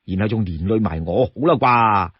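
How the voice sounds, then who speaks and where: A man plays a shrewd young outlaw from a storybook, exasperated and firm as he lays down rules. The voice is husky and admonishing, close and dry, with a slightly dull sound. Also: on the low side, moderate pace, no room sound, voice pushed down. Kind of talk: Cantonese storytelling character voice.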